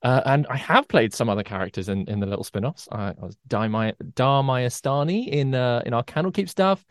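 A man's voice: mid-range voice, animated, brisk, no echo. A man who is the dungeon master co-hosts a tabletop game podcast. He chats casually and hesitantly, with plenty of fillers and some playful teasing. His voice sounds dry and close to the mic.

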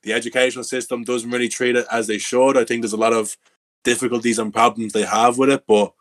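A young Irish man co-hosting a casual podcast chat, excitable, with lots of "like" and swearing. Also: mid-range voice, fast, dry recording. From Ireland. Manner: excitable, swears, says "like" and "boys" a lot. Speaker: podcast co-host.

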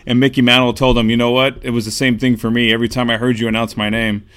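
Man, medium pitch, clean smooth voice, casual sports interviewer, attentive, conversational. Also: little pitch movement, fast talker, a bit bright. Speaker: podcast interviewer, co-host.

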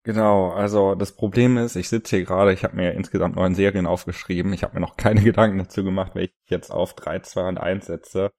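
A man's voice: deep voice, steady tone, a little fast, hardly any pauses, darkish tone, dry recording, clean studio sound. A young man co-hosting a casual podcast, telling stories in an easygoing way with many fillers. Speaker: podcast co-host. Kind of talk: casual chat.

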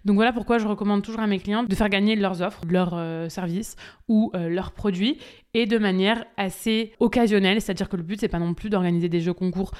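Young woman podcaster, smooth clear voice, chatty and direct, lots of euhs. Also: medium pitch, steady tone, a little fast, a little mellow. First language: French. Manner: chatty, direct, many fillers, talks straight to listeners. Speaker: podcast host, social media business coach. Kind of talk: casual solo podcast, spontaneous answers.

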